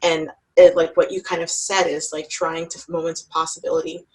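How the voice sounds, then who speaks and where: A woman's voice: low-pitched, flat delivery, brisk, a little mellow, rough recording. A woman moderating a filmmaker Q&A as festival programmer, chatty and appreciative, with many fillers. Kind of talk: moderating a recorded Q&A.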